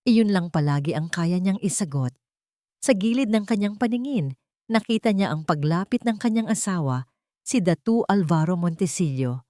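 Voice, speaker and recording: A woman's voice: lowish voice, lively intonation, normal speed, mellow, no room sound, studio quality. A woman storyteller reading a scripted, dramatic tale as narration. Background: music.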